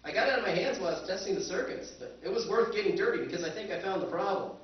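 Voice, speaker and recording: A man's voice: sits high, animated, rapid-fire. Man as a wry radio-play spaceman, very echoey. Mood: practical. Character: wry, skeptical male planetary surveyor telling his tale. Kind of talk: old-time radio drama narration and dialogue on stage. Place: convention stage. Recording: echoey stage recording, distant and dull.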